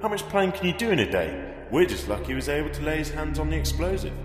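A man's voice: fairly high pitch, very animated, fast talker, roomy sound, in a large hall, faint music behind. A man playing a brusque, commanding resistance fighter in an audio drama. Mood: tense. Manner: terse, commanding. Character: brusque male resistance fighter planting a bomb.

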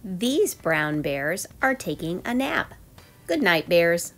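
A woman reading a children's nonfiction book aloud as storyteller, expressive and addressing young listeners directly. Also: on the low side, slow pace. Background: background music.

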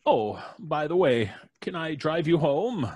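An actor playing a polite old judge in an old-time radio horror play. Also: male voice, a little high, sing-song, takes it slowly, a little mellow, slightly rough, no echo, full character voice. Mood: genial, unworried. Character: old judge, polite, unafraid, then rattled by a ghost.

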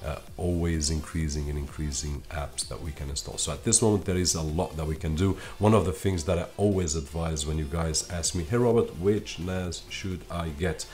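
A man with a very low voice and a Portuguese first language talks viewers through tech gear from his home office desk. He is chatty and direct, with plenty of fillers, in his thirties. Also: normal speed, hardly any pauses, a little mellow. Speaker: tech reviewer. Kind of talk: tech review to camera.

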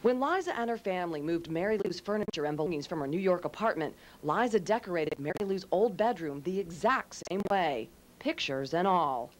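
A woman reporter narrating a local news feature in an even, measured delivery. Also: on the low side, low-quality recording.

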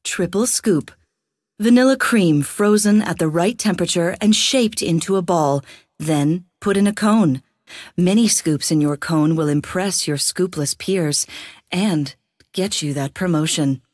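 Woman, slightly low voice, deadpan and sarcastic, game narrator. Dry booth sound. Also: unhurried, no echo. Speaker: in-game food description narrator. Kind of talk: scripted game voice lines. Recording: dry studio recording.

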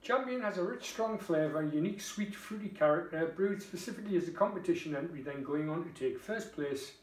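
A man's voice: a little high, expressive, fairly quick, distant mic. Chatty Geordie man in a roomy kitchen. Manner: chatty, wry, swears casually. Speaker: beer vlogger. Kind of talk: casual beer review chat. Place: home kitchen, indoors. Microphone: camera across the table.

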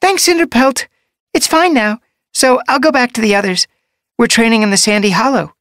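A woman voicing a small, eager young female apprentice cat who talks in an excitable, whiny way. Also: fairly high pitch, normal speed, no room sound, clean studio sound, close to an everyday voice.

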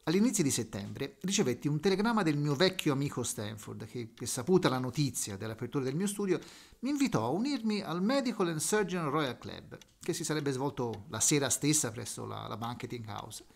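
A man's voice: mid-range voice, sing-song, gravelly, roomy sound. A man voicing an elderly retired doctor who often stumbles and restarts his words. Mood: narrating. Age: older. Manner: halting, stammering restarts. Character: old Dr Watson, retired doctor, hesitant. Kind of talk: amateur audio drama.

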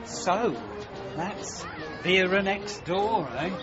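An actor with an English accent playing a cheeky, waspish London assistant in a radio drama, wry and teasing. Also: male voice, high-pitched, extremely slow. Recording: dull lo-fi drama under loud music.

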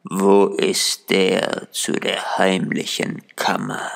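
A man voicing a menacing, commanding, murderous husband in a fairy tale, speaking slowly and threateningly. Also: low-pitched, completely flat delivery, vocal fry, full character voice. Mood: cold, insistent. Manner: slow, threatening, angry.

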